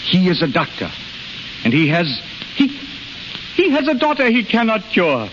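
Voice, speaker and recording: Cocky impatient healer in old radio play, voice swings up and down, hissy hall recording. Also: male voice, sits high, wildly swooping pitch, a little slow, fairly bright tone, dull audio, heavily acted. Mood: defiant. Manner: insistent, repeats words, challenging. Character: proud, brash unlicensed male healer, impatient and boastful. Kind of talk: 1930s radio drama acting.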